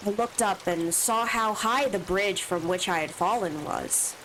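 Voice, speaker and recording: A woman's voice: mid-range voice, unhurried, fairly bright tone, a little hiss or hum, rough recording. A woman streamer with allergy sniffles, reading a visual novel's first-person text aloud with asides of her own. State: stuffy from allergies.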